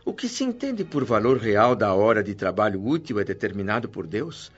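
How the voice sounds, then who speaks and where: A man's voice: lowish voice, very animated, moderate pace, dull audio. A Brazilian actor playing an earnest, curious man new to the spirit world in a radio drama, speaking in a formal, reflective way. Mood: curious, puzzled. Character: André, curious, earnest, eager male newcomer to spirit world.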